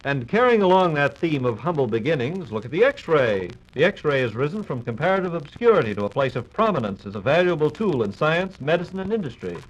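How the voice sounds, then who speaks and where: American man, 1940s radio host, quick pace, lively intonation, scripted questions and introductions. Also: on the high side, lo-fi sound.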